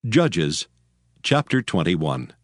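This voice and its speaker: A man reading scripture as the narrator of a dramatized audio Bible, solemn and measured. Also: low voice, big pitch swings, no room sound, clean studio sound. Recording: prominent background music. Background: music.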